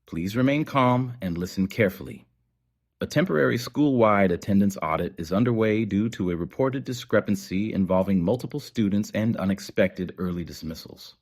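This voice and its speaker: A man narrating scripted horror as an official emergency broadcast, in a formal, measured, ominous manner. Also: on the low side.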